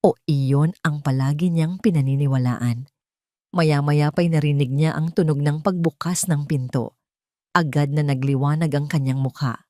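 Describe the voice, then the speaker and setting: Low-voiced woman narrating romantic drama. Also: fairly even intonation, normal speed, warm tone, dry recording, studio quality. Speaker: storyteller, narrator.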